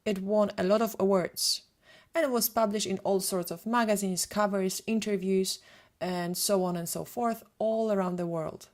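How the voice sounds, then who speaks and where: A woman in her thirties with a Polish accent, a photographer presenting a prepared webinar in a steady, read-from-notes way. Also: mid-range voice, normal speed. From Poland.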